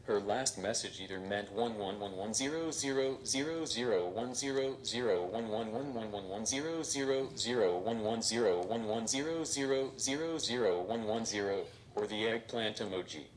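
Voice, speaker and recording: A man voicing a robot stand-up comedian who delivers deadpan jokes on stage. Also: fairly low pitch, normal speed, hardly any pauses, slight background noise, lightly acted. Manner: deadpan, flat punchlines. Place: stage before a live audience.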